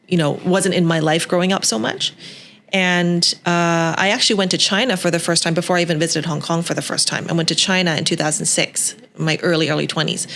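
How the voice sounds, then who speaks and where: A woman's voice: on the low side, a bit bright. A woman in her thirties who grew up in Canada, speaking as a podcast guest in a reflective way, with many fillers and restarts. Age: 30s. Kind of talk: podcast interview. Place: Hong Kong.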